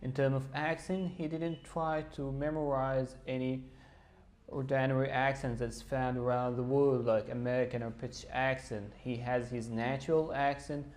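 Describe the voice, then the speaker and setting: Male teacher, mid-pitched and monotone, calm feedback read. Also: moderate pace. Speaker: exam teacher. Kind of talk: spoken feedback on a candidate.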